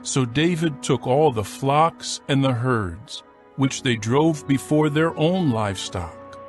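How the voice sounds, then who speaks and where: A man narrating scripture from a script in a solemn, measured storytelling manner. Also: medium pitch, animated, faint music behind.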